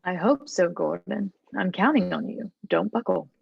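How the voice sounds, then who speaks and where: A woman's voice: lowish voice, lively intonation, moderate pace, gravelly. A woman acting a domineering, menacing older mother who scolds and commands in a script table read. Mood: stern, scolding. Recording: dull, roomy home recording.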